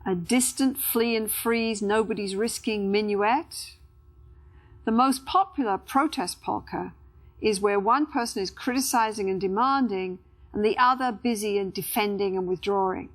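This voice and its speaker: A woman's voice: mid-range voice, moderate pace. A woman reading scripted educational narration as a narrator.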